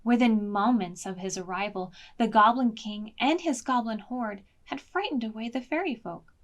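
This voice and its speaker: A woman reading a picture book aloud to preschoolers in an expressive, deliberate way, as a storytime librarian. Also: fairly high pitch. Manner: slow, deliberate, expressive, pausing to ask questions.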